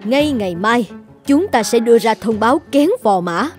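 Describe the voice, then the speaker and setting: Cartoon queen mother, formal and strict, fairly high female voice, woman acting. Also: lively intonation, normal speed, soft background music, lightly acted. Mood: scolding. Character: strict but deferential royal mother, a queen. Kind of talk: dubbed children's cartoon acting.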